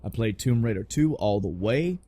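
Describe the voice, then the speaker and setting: A man's voice: fairly low pitch, expressive, moderate pace, dark tone, dry recording. Sweary, emphatic male podcast host, dry sound. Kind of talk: casual podcast chat about video game movies.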